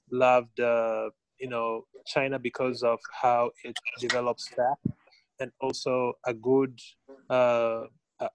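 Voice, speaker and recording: A man with a Kenyan accent introduces himself and his work as a podcast guest. He speaks deliberately, pausing as he shapes sentences, in a mid-pitched voice with no room echo around it. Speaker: podcast guest, founder and student. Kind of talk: conversational self-introduction.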